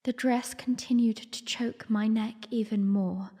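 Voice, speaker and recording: A woman playing a young, refined princess, narrating her memories earnestly and formally in a breathy voice for an audiodrama. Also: on the high side, fairly even intonation, measured pace, dark tone. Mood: solemn, reflective. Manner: storytelling, formal. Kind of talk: first-person dramatic narration. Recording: long reverberant hall echo.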